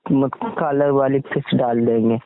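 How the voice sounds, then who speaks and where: A man's voice: mid-range voice, steady tone, measured pace, no echo. Young man on a muffled phone call. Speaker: boyfriend on a call with his girlfriend. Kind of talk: casual intimate phone chat. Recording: muffled, band-limited phone call recording.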